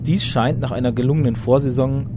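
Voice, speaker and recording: A man's voice: mid-range voice, steady tone. Man reading a factual report over traffic noise. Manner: measured, factual reading of dates and facts. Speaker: narrator. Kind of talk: scripted documentary narration.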